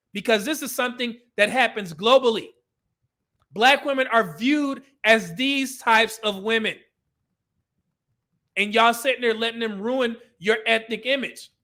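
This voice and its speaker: Fields: Sex: man. Role: host. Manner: bright, ranting. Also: high-pitched. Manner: blunt, profane, preachy. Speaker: commentary host. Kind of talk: ranting commentary over video clips.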